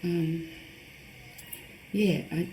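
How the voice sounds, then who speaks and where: A woman hosting a card-making demonstration, chatting through each step with a croaky voice. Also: lowish voice, steady tone, drawn-out words, mellow, soft background music. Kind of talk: casual craft demonstration. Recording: roomy, mic at a distance, faint background noise. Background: birds outside.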